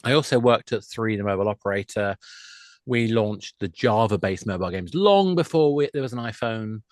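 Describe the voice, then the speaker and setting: A man's voice: lowish voice, animated, fairly quick, no echo, clean studio sound. A middle-aged man speaking as a podcast guest, chatting in a self-deprecating, conversational way about his work. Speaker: podcast guest, games industry expert. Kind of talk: conversational interview talk.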